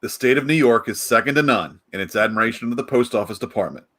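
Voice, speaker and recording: A man's voice: medium pitch, lively intonation, fast talker, a bit bright. A man playing a pompous, exasperated district attorney in a 1940s-style radio play. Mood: pompous, insistent.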